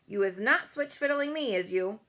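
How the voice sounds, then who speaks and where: A woman's voice: fairly high pitch, animated, moderate pace, fairly bright tone. A woman voicing a huge, greedy, man-eating giant, bullying and insulting, with mangled comic grammar, for a storybook. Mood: suspicious.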